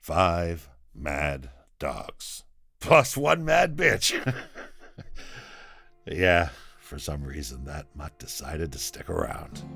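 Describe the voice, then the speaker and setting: A wry, rough-edged rebel storyteller, speaks low with a very heavy creak. He is foul-mouthed and sardonic, spinning a first-person audio drama tale in a chatty yet theatrical manner. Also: a bit bright, exaggerated voice. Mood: reflective, wry. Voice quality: gravelly, low.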